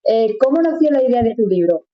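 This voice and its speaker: A woman's voice: on the high side, very animated, fairly quick. Chatty woman interviewer, lo-fi sound. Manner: chatty, encouraging, uses tag questions. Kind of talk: friendly casual interview.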